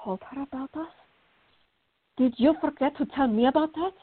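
A woman's voice: sits high, moderate pace, natural voice. An actress playing a fiery, indignant young woman in a radio drama, arguing and questioning. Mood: baffled, annoyed. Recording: tinny, band-limited, echoey room.

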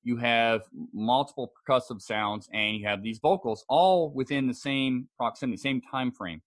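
A man's voice: medium pitch, moderate pace. Man hosting a casual podcast. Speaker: podcast host. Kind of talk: casual podcast discussion introducing audio clips.

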